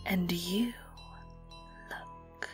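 A woman playing a sly, vengeful vampire in an audio roleplay, with a British accent, a breathy, often whispered voice and a taunting, theatrical delivery. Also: fairly low pitch, very slow, over music. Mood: gloating, menacing. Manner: taunting, theatrical, lingering on words. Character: vengeful young female vampire, sly, gloating and predatory. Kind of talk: dramatic audio roleplay monologue.

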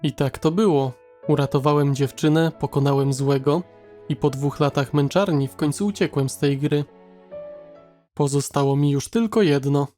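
A man's voice: fairly high pitch, steady tone, normal speed, warm tone, lightly acted. A man voicing a cocky, sarcastic young gamer hero with blunt delivery in an anime parody dub. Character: cocky, foul-mouthed young male swordsman gamer hero. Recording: music behind the voice.

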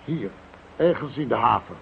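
Composed police commissioner, thin sound. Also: male voice, medium pitch, animated, moderate pace, vocal fry. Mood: serious, businesslike. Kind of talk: Dutch radio play acting. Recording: thin narrow-band radio recording with hiss.

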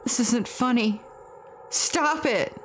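A woman voicing a frightened teenage schoolboy trapped in a horror game, speaking in halting, panicky phrases. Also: fairly high pitch, a little hiss or hum. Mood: panicked, strained.

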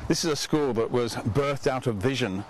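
An older man with a lapel mic, interviewing a student and speaking to camera outdoors in a relaxed, unhurried, affirming way. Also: medium pitch, a little hiss or hum. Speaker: ministry leader and host. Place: outdoors on a garden bench by a stone manor.

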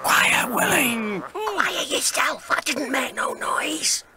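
A man's voice: very high-pitched, big pitch swings, slow pace, over a noisy crowd, voice pushed up, heavily acted. A man voicing a silly, indignant, squabbling pirate crewman in a storybook.

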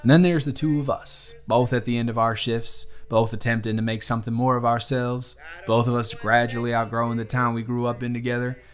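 Male actor as young bartender, Southern US accent, medium pitch, folksy, teasing, earnest, affectionate, romantic audio drama, faint music. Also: flat delivery, fairly quick, hardly any pauses, close to an everyday voice. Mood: earnest, encouraging. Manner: folksy, playful, affectionate drawl. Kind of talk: romantic audio roleplay, speaking to the listener.

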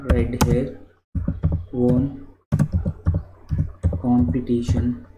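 A young man gives a grammar lesson at a very slow, halting pace, repeating phrases as he types out examples. His mid-pitched voice rings in an echoey small room. Steady noise and keyboard clicks sit clearly behind him. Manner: slow and repetitive, often saying "friends" to the viewers. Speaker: English grammar teacher. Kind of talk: slow explanatory screen-share lesson. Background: keyboard clicks and typing.

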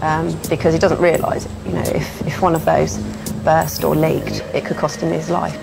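A British woman speaks as an airport officer in a fairly low voice. She is matter-of-fact and official, recorded on location with loud music underneath. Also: level tone, moderate pace, gravelly. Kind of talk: plain official questioning and explaining. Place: indoor airport rooms and corridors.

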